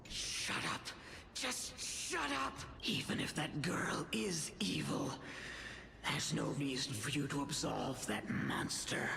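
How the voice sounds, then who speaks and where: A man playing a bitter, agitated and grandiose trapped character in a dramatic video game, with a gravelly voice. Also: on the high side, wildly swooping pitch, takes it slowly, sharp tone, in a whisper, heavily acted. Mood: angry, anguished.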